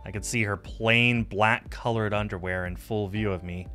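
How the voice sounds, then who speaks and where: A man's voice: lowish voice, steady tone, normal speed, sharp tone. A man streaming a visual novel, reading the narration aloud and adding casual asides. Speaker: game streamer. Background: music.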